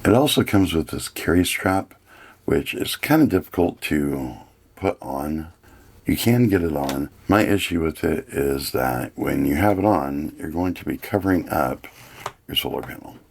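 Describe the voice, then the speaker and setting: A man with a gravelly, husky voice giving a casual, plain-spoken hands-on product review. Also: very deep voice, lively intonation, measured pace.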